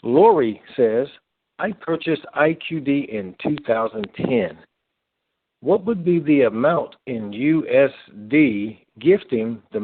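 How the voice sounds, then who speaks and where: A man co-hosting a casual call, reading out listener questions and speaking haltingly with pauses between phrases. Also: mid-range voice. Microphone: telephone. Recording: narrow, lo-fi phone line.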